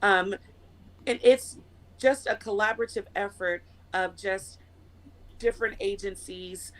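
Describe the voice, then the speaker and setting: Hoarse, fast-talking woman guest. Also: mid-range voice, fairly even intonation, fairly bright tone. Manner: nervous, quick, rambling with many fillers. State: hoarse from a cold. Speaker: interview guest. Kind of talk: conversational interview.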